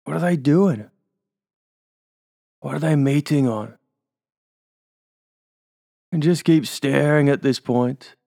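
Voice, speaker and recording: A man's voice: on the high side, takes it slowly, vocal fry, long pauses, dark tone, no room sound, clean studio sound. A man with an Australian accent leading a guided hypnosis induction, giving soothing suggestions and tag questions.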